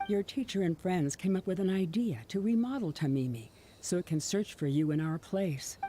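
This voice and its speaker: A woman playing a loving, dying parent who reads a farewell letter softly and solemnly in video game dialogue. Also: low voice, a little hiss or hum, lightly acted. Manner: soft, breathy, tender, solemn.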